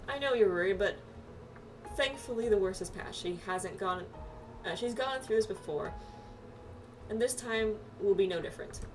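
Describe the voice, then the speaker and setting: A woman voicing a worried but steady companion from a fantasy game, earnest and gently admonishing. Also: mid-range voice, normal speed, background noise, close to an everyday voice. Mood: caring, firm. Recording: distant mic, music behind.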